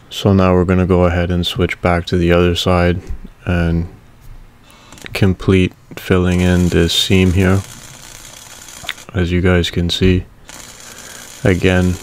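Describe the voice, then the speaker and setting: A man casually narrating a welding repair video in a relaxed, matter-of-fact way. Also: low-pitched, a little hiss or hum.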